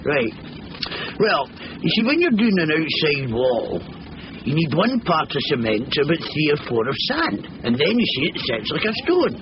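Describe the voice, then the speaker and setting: Jittery Scottish labourer, highish voice. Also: male voice, wildly swooping pitch, moderate pace. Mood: explaining eagerly. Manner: rambling, nervy, colloquial. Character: chatty, jittery working-class labourer with a shady scheme. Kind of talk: 1970s British radio comedy-thriller acting. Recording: muffled, noisy old radio broadcast.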